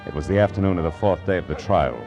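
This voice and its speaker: Casting: male actor for wry noir detective narrator, low pitch, clipped delivery, old radio drama with music. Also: fast talker, natural voice. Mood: wry, storytelling. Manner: clipped, hard-boiled, wry. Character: hard-boiled, wry male private detective narrating his case.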